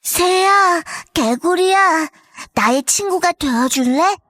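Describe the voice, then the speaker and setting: A sad little ugly duckling. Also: female voice, extremely high pitch, animated, takes it slowly, a bit bright, no room sound, clean studio sound, exaggerated voice. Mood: hopeful. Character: sad lonely young male ugly duckling. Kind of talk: voiced children's storybook.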